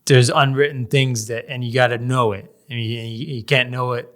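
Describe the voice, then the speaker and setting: A man from Canada, a guest in a casual interview, talking in a measured way and often pausing to search for words. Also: mid-range voice, little pitch movement, a bit bright. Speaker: podcast guest, real estate developer.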